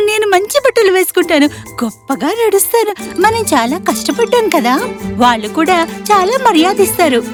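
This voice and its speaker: Cartoon village milkmaid girl: very high, swooping, excitable and boastful daydreamer, over music. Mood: dreamy, boastful. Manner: excitable, wistful musing aloud. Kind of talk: animated children's fairy tale acting.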